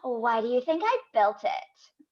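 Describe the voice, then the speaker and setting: A woman's voice: on the high side, sing-song, measured pace. A woman acting a brash, pushy young tech entrepreneur with a bossy, gushing manner in a comedy table read, on a lo-fi microphone. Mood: boastful. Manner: loud-mouthed, bossy, gushing. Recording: dull, lo-fi remote microphone.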